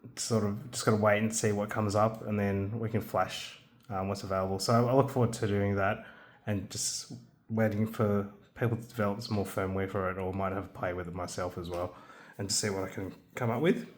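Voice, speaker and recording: Man, fairly low voice, easygoing hands-on gadget walkthrough, halting delivery with ums. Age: adult, has a young son. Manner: relaxed, hesitant, many ums and restarts. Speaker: maker showing a radio kit. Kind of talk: casual hands-on product demo.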